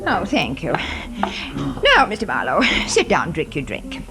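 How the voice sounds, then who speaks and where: A woman's voice: low-pitched, big pitch swings. An actress playing a fussy, imperious, hard-drinking society aunt in her fifties in a 1940s radio drama. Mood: indignant. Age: 50s. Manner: brisk, imperious, fussy, flustered asides. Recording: vintage 1940s radio broadcast, music behind.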